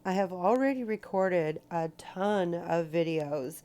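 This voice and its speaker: A woman hosting a painting tutorial in a casual voice-over, her voice raspy from a recent cold, chatty with many ums and self-corrections. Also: on the low side, slow, dark tone. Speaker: rock painting tutorial host.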